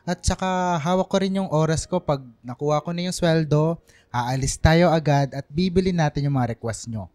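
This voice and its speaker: A man's voice: a little high, normal speed, a little mellow, close to an everyday voice. A man with a Filipino accent playing a teasing, generous father of two in a radio drama. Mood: reassuring. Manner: playful, affectionate teasing. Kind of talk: radio drama acting in casual family talk. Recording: music bed under the voice.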